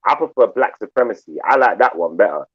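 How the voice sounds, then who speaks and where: A man from England talks in a loose, rambling way. His voice sits low, and the sound is dry and a little dull, with no room around it. He keeps saying "like" and "you know" as he speaks. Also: expressive, quick pace, slightly rough, dry recording. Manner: laid-back, rambling, says "like" and "you know" a lot. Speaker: panel guest. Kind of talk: casual group chat.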